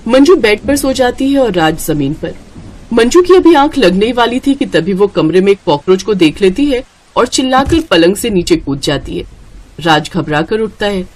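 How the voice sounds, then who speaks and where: A woman's voice: mid-range voice, big pitch swings, normal speed, a little mellow, rough recording. A woman storyteller reading a scripted narration.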